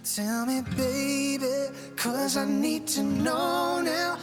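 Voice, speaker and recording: A woman's voice: high voice, very slow. A woman singing an acoustic pop song over plucked guitar. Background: plucked guitar accompaniment.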